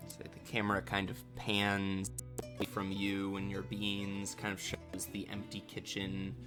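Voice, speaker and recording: A man's voice: sits low, completely flat delivery, a little slow, over music. A man running a tabletop role-playing game, improvising scene descriptions in a casual, hesitant, explanatory way. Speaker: game master.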